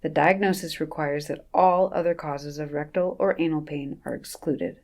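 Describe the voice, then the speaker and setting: Woman, a little low, doctor reading a scripted segment, deadpan humor. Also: moderate pace. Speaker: resident physician presenting a segment. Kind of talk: scripted segment read with jokes.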